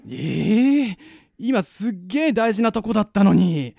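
A man's voice: high voice, big pitch swings, a little slow, vocal fry, dark tone, heavily acted. Man acting an emotive young man, echoing sound. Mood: brash. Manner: colloquial, emotive. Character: young man, impulsive, casual, sensitive. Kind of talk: amateur radio drama acting. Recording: echoing reverb, music underneath.

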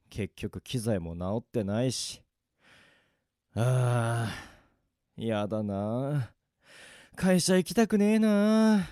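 A man's voice: mid-range voice, darkish tone, no echo, studio quality, pitched below the performer's own voice. A man playing an overworked young office worker in an amateur radio drama, weary and muttering to himself.

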